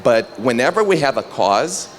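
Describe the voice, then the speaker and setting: A man's voice: medium pitch, sing-song, moderate pace, a little hiss or hum. Earnest man addressing a panel. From Brazil. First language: Brazilian Portuguese. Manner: earnest, enthusiastic, addresses the audience directly. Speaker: panel moderator. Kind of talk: public panel talk. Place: panel on stage before an audience.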